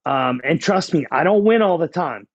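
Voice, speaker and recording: Boston-accented man with a gravelly voice. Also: on the high side, expressive, normal speed, no room sound, muffled recording. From New England, small-town New Hampshire near the Massachusetts border. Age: 40s. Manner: candid, blunt. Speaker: guest, life coach. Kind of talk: casual conversational storytelling.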